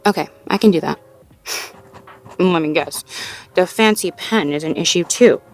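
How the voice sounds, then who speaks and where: A woman's voice: fairly low pitch, moderate pace, lightly acted. A woman playing a sweet, self-doubting, playfully wry girlfriend in an intimate audio roleplay, talking casually and conversationally with a partner. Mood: amused, thoughtful. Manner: conversational, casual, with sighs and soft chuckles. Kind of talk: intimate slice-of-life audio roleplay acting, one-sided conversation.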